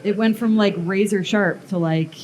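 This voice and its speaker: A woman demonstrates metalwork in a casual, informal way, with a medium-pitched voice. She explains each step haltingly, thinking out loud as she works. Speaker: metalworking artist giving a demonstration. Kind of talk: casual live workshop demo, explaining steps. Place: live blacksmithing demonstration before onlookers.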